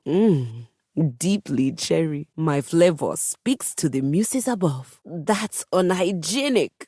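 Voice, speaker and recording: Snooty grand piano diva game character, very mellow tone, dry close sound, super precise and theatrical. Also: female voice, lowish voice, no echo. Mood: bewildered, pompous. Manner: grandiose, pompous, precise wording. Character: vain, theatrical piano diva, refined and haughty.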